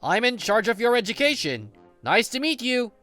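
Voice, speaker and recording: An easygoing male office senior, acted by a man in a manga dub, patiently guiding a junior. His delivery is conversational and animated, swinging widely in pitch. Soft music plays behind him. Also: normal speed, heavily acted. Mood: friendly. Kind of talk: English manga dub, narration and dialogue.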